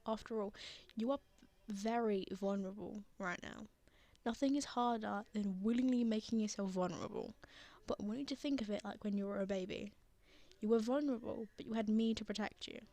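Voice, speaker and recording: A woman playing a gentle, reassuring father who comforts a frightened child in a tender ASMR roleplay. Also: mid-range voice, brisk, dry recording, close to an everyday voice. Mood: tender, soothing. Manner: soothing, tender, earnest.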